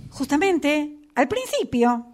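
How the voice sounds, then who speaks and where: A woman's voice: sits high, big pitch swings, slow pace, full character voice. A woman reading a resigned tramp who looks for small consolations in an amateur radio play. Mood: resigned, wondering.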